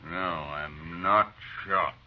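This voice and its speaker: An actor playing a drunk, stubborn, brooding American rancher in a 1950s radio western. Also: male voice, sits low, fairly even intonation, drawn-out words. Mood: stubborn, weary. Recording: muffled, noisy old radio recording.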